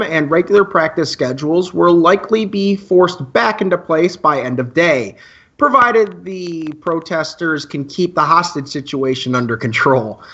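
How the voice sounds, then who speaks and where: A high-pitched, playful high school boy played by a man chats as co-host of a campus radio show. He talks in wordy announcer patter, in an amateur radio drama with a slightly muffled lo-fi recording. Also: sharp tone, exaggerated voice. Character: male eleventh-grade student co-hosting a campus radio show, playful, wordy.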